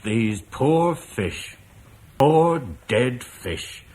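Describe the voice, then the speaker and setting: An actor playing Arthur, a blunt, dryly humorous soldier leading a small band, in a radio drama. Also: male voice, mid-range voice, lively intonation, very slow, a little mellow. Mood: sober, firm. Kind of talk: British radio drama acting.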